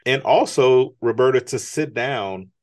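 A man's voice: mid-range voice, a little slow. A man speaking as an interview guest, earnest and motivational, often rising in emphasis. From United States. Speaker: guest, business strategist. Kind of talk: conversational interview.

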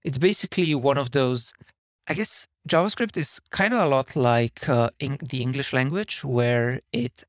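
A man from Slovenia, a software engineer guesting on a podcast, who talks casually and wanders off on tangents. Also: mid-range voice, moderate pace, no room sound, clean studio sound. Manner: rambling, self-deprecating, digressive.

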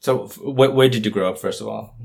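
A man's voice: on the low side, fairly quick, creaky, darkish tone. A man hosting the discussion, who asks questions and steers the conversation. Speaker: discussion host. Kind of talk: casual discussion.

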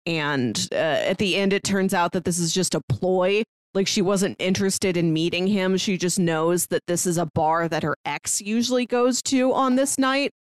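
A woman's voice: lowish voice, normal speed, hardly any pauses, no room sound. A woman co-hosting a film podcast, talking casually through what happens in a film.

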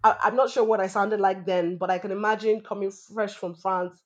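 A woman from Nigeria, a spoken word artist, recalling her childhood in a relaxed, reflective way. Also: medium pitch, fairly quick. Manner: relaxed, reflective, many fillers. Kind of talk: casual interview. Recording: soft music bed behind voice.

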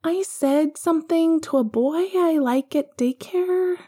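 A woman's voice: very high-pitched, measured pace, dark tone, pitched above the performer's own voice. A woman voicing an earnest, polite young schoolboy character in a story, speaking with childlike hesitation. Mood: sheepish confession.

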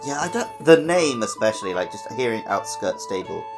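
Man, gaming podcast co-host, casual chat, expressive intonation, vocal fry, soft music behind. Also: fairly low pitch, brisk. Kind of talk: casual podcast chat about a video game. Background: background music.

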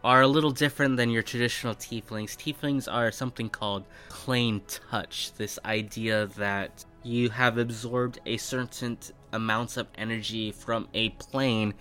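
A man with a bright, forceful voice works as a tabletop game master. He frames the fantasy world and puts questions to a player, with music running behind him. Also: lowish voice, clear, resonant tone. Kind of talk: scripted intro narration and character interview. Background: background music.

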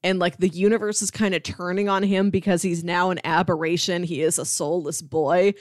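A woman co-hosting a podcast, casually retelling scenes like an animated storyteller. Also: lowish voice, fairly quick, no room sound, clean studio sound. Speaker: podcast co-host.

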